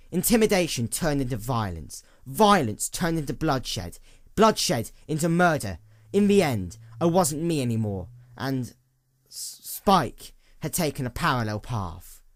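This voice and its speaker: A man's voice: fairly high pitch, big pitch swings, measured pace, a bit bright, no echo, studio quality. A man voicing an embittered, hollowed-out female pony in a dramatic story, brooding and intense. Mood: bitter, weary.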